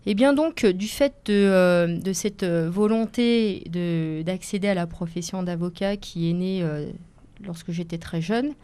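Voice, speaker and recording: A woman's voice: lowish voice, a little slow, mellow, smooth. A woman in her forties, native Italian speaker, answering interview questions as a lawyer guest on the radio, hesitant with many fillers and careful phrasing. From France, Seine-et-Marne. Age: 40s.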